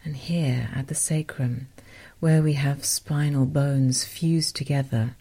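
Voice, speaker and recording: A woman softly guiding a meditation as host, in a gentle, unhurried, breathy voice that often nears a whisper. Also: rumbling low, fairly even intonation. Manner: gentle, unhurried, softly spoken with short phrases. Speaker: meditation guide and podcast host. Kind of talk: guided meditation, soothing instruction.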